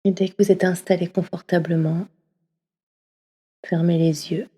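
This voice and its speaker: A woman leading a guided yoga nidra session, speaking slowly and softly, often slipping into a half-whisper. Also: on the low side, steady tone, breathy edge. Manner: slow, soft, often half-whispered delivery. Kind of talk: guided meditation. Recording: long reverb as in a hall.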